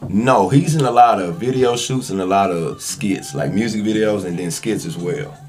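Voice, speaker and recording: A man's voice: fairly low pitch, moderate pace, a little mellow. A man with a Southern US accent telling stories as a podcast guest in a casual, slangy, conversational way. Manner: slangy, informal. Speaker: podcast guest, actor and online skit comedian.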